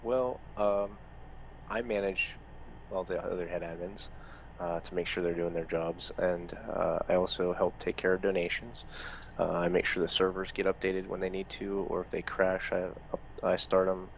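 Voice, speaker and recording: A man's voice: deep voice, little pitch movement, moderate pace, gravelly, lo-fi sound, slight background noise. A man who heads a gaming community's staff, answering questions in a casual group chat in a plain, matter-of-fact way with lots of fillers.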